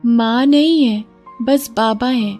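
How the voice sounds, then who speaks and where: A woman's voice: a little high, slow pace, a little mellow, faint music behind, pitched above the performer's own voice. A woman voicing a timid, poor ten-year-old beggar girl in a cartoon story, speaking meekly and hesitantly. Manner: hesitant, meek. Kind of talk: Hindi moral-story cartoon voice acting.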